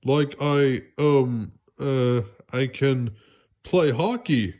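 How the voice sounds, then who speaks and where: A man's voice: medium pitch, very slow, darkish tone. A man voicing a dim, cheerful, confident starfish buddy in a boisterous storybook character voice. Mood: boastful.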